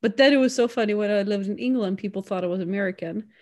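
A young woman from Sweden with a Swedish accent, co-hosting a casual chat podcast, chatty and quick to act out what people said. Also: mid-range voice. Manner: chatty, quotes people in animated bursts, laughs often. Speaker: podcast co-host.

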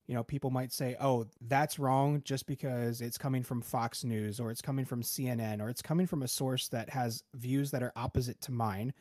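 A man calmly explaining ideas with examples in a casual podcast panel talk. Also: mid-range voice, normal speed, few pauses, dry recording, clean studio sound. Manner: calm, explanatory, gives examples.

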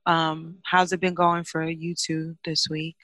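A woman with a raspy, slightly hoarse voice, co-hosting a casual, profane podcast from inside a car. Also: low-pitched, level tone, measured pace, fairly bright tone, no room sound, clean studio sound. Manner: profane, laughing, easygoing. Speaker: podcast co-host.

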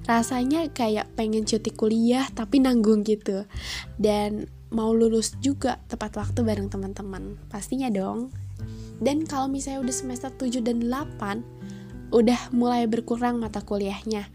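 A young woman hosts her podcast, her nose stuffed and her voice nasal from a cold. She sits somewhat high in pitch but sounds mellow and soft-edged. She talks in a friendly, chatty, encouraging way over faint instrumental music. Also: steady tone, normal speed. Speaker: podcast host. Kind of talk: casual solo podcast talk.

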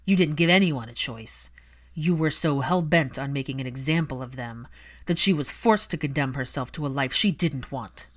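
A woman voicing a bitter adult aristocratic son in an audiobook-style story, cold, angry and biting in a deliberate delivery. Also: a bass voice. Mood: accusing.

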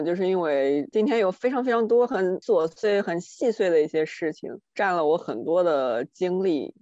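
A woman's voice: low voice, darkish tone, no echo. Woman podcaster chatting, dry slightly muffled recording. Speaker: podcast co-host. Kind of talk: casual chat, personal anecdotes. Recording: dry, slightly dull lo-fi audio.

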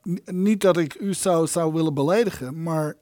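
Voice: medium pitch, normal speed, mellow, no echo, clean studio sound, lightly acted. An actor in a radio play playing a polite, hesitant onlooker with an eye for money.

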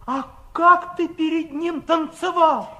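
A man's voice: extremely high pitch, steady tone, takes it slowly, pushed and bright, full character voice. An actor playing a boisterous, joking young man, theatrical and playful, in a 1960s radio drama. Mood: mocking banter. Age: young adult. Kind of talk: 1960s Soviet radio play acting.

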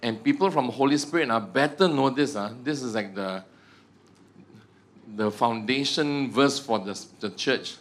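A man's voice: mid-range voice, measured pace. Joking Malaysian man teaching a church group. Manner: joking, self-mocking, tags sentences with "ah" and "huh". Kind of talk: humorous teaching talk to a prayer group.

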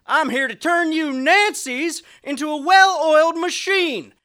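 A woman's voice: high voice, unhurried, a bit bright. A woman voicing a tough drill sergeant who barks orders in old-time slang. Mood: gruff.

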